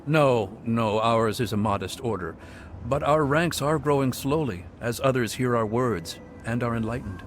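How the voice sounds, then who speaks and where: An actor playing a serene, zealous male cult monk in video game dialogue, speaking in a soft, pious, sermonizing way. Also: mid-range voice, moderate pace, a little hiss or hum, close to an everyday voice. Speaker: game character.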